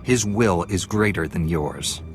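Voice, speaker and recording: A principled knightly hero in a sci-fi game. His voice is deep, his delivery firm, formal and measured, set over clear background music. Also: animated. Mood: resolute. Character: principled, resolute male Jedi knight hero. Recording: music behind the voice.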